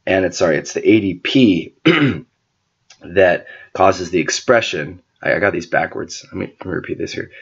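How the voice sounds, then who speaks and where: Man, very low voice, sick and hoarse, throat clearing, casual lecture, dull lo-fi recording. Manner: frequent throat clearing and filler words. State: sick, losing his voice. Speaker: teacher explaining a medical topic. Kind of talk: informal whiteboard lecture.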